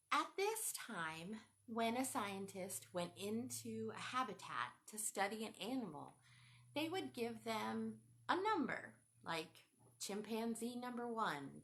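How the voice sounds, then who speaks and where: A woman's voice: fairly high pitch, fairly bright tone. A woman hosting a children's storytime, reading a picture book aloud in a sing-song teacher voice and asking the children questions.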